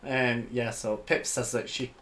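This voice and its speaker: A man's voice: medium pitch, fairly even intonation, a little slow, fairly bright tone, slight background noise. A man with a Yorkshire accent co-hosting a building tutorial, talking in a rambling, casual way and laughing easily. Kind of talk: casual chat.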